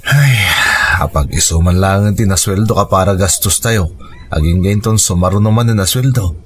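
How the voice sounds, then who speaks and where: Actor as low-voiced tired Filipino husband. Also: male voice, normal speed, slight background noise, natural voice. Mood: mildly fretful. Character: tired working husband and father, plain-spoken, mildly fretful. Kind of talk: naturalistic radio drama acting. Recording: music bed behind radio drama dialogue.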